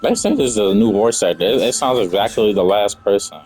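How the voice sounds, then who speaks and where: A man's voice: lowish voice, fairly quick, a little mellow, husky, faint music behind, low-quality recording. A man giving casual, blunt, slangy commentary as he plays a video game. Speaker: gamer commentating on a playthrough. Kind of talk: casual game commentary, reading dialogue aloud.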